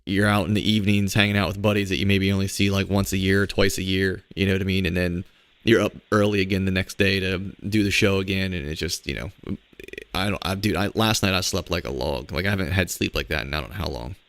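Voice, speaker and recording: A man hosting a podcast, chatting casually with a cold-roughened, hoarse voice, rambling through stories with lots of fillers. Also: sits low, steady tone, fast talker, fairly bright tone, no echo. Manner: laid-back, rambling storyteller with filler words. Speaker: podcast host.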